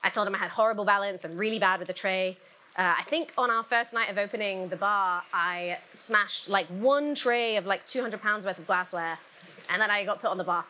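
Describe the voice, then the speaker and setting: A woman in her young adult years talks to a conference audience in an easy, earnest way, dropping in plenty of "like"s. Her voice has a bright, forward edge. She sits at a middling pitch for a woman. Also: a little fast, a little hiss or hum. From South West England. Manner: chatty, earnest, many fillers. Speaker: bar education advisor. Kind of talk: conference talk. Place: conference stage.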